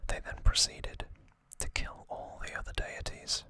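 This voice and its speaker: A man whispering a casual ASMR recap in short, hushed, unhurried phrases. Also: dry recording. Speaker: ASMR host.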